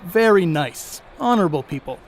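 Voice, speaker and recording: A man's voice: sits high, expressive, moderate pace. A man playing a brash, boastful, crude baseball star in an audio drama, with folksy slang. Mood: genial, proud. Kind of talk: audio drama acting, 1930s setting.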